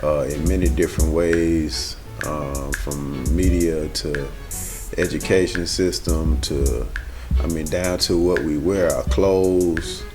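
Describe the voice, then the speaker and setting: A man in his forties from Arkansas, answering an interview in a measured, hesitant way. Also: rumbling low, steady tone, over music. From Pine Bluff, Arkansas. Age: 40s. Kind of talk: casual opinion interview.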